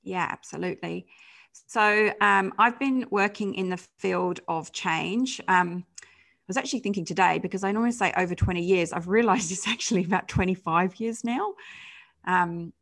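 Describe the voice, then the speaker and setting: A woman's voice: medium pitch, normal speed, creaky, a bit bright. A middle-aged woman with a British accent, talking conversationally as a podcast guest, with frequent fillers and self-corrections. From United Kingdom. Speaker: guest, change management consultant. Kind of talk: podcast interview.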